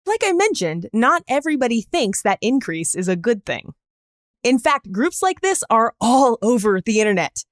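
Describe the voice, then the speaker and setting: A woman's voice: on the high side, very animated, moderate pace, no echo, studio quality. A woman hosting a podcast, reading scripted narration in a wry, conversational way with asides. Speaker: podcast host.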